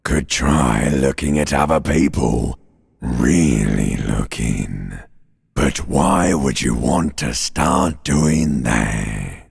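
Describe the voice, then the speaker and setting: A man voicing an ancient reptilian brain in a video game, a menacing and seductive inner voice with a guttural, raspy growl, drawn-out and mocking. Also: rumbling low, heavily acted. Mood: mocking, scornful. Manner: slow, drawn-out, mocking, darkly soothing. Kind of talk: theatrical video game voice acting. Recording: long cavernous reverb.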